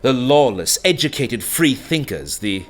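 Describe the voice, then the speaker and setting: An actor playing an earnest, cultured plainclothes detective in a radio play, speaking formally and emphatically. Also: male voice, medium pitch, sing-song, measured pace. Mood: grave, zealous. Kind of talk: dramatic radio dialogue.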